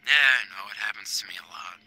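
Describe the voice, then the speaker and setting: An actor playing a lonely, earnest, hopeful helper in a game, halting and wistful. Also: male voice, lowish voice, bright, forceful tone, no echo, low-quality recording. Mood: dejected. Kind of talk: VR game acting.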